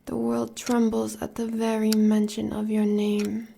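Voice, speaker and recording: An American woman playing a fierce, devoted werewolf huntress consoling her lover in an audio roleplay, solemn and measured, with a breathy voice. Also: on the high side, monotone, warm tone, slight background noise. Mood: fierce devotion. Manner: solemn, measured, poetic delivery.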